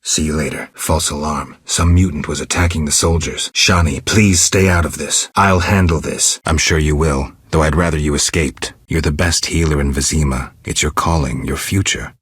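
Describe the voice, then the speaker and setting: An actor playing a gruff, world-weary monster hunter in a video game, terse and deadpan, with a gravelly, husky voice. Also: male voice, moderate pace, hardly any pauses, dry recording, clean studio sound, heavily acted. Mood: firm, calm. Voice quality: very deep, gravelly, husky rasp. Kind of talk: video game dialogue acting.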